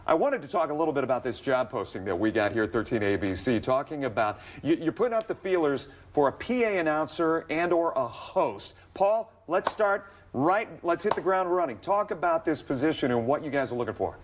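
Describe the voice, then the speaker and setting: A man's voice: fairly high pitch, big pitch swings, a little fast, hardly any pauses, slight background noise. A man with an American accent speaking as the host of a television interview. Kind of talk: broadcast interview.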